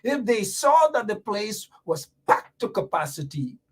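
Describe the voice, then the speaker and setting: A man's voice: sits high, big pitch swings, measured pace, husky. A Guyanese man hosting a radio talk show, delivering fiery, sarcastic political commentary with mocking repetition.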